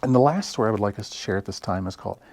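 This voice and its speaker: A man narrates picture books for children with expressive, deliberate delivery. His voice is fairly low but very animated, recorded dry and close. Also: no room sound. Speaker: library story time reader.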